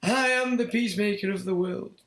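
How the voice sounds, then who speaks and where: Brash, boastful older male leader, threatening, as a hoarse British man sends him up, roomy echo. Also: high voice, normal speed, fairly bright tone. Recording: echoey room.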